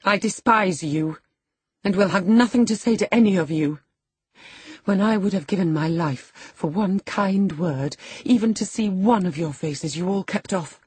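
A woman narrator plays a proud young lady from a classic novel. She is icy and imperious, lifting her pitch to deliver cutting, indignant scorn with a husky edge, as in an audiobook. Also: sing-song, slow, few pauses, warm tone, dry recording, heavily acted. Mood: scornful, bitter. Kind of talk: classic novel character dialogue in audiobook reading.

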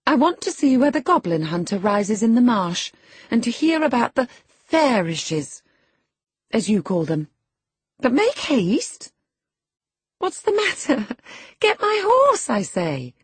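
A spoiled young girl from a storybook, acted by a woman, haughty and demanding. Her voice jumps high and swoops around freely, with an indignant, emotional edge. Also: sing-song, slow pace, pauses often, breathy edge, no echo, full character voice. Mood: imperious. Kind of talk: dramatised audiobook character.

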